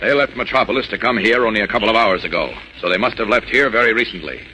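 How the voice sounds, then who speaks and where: An actor playing a stern, righteous superhero in 1940s radio serial style, with a firm, deliberate, demanding delivery. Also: male voice, on the low side, natural voice. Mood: brisk, focused. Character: stern, righteous male superhero, commanding and confident. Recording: bright vintage radio recording, faint noise.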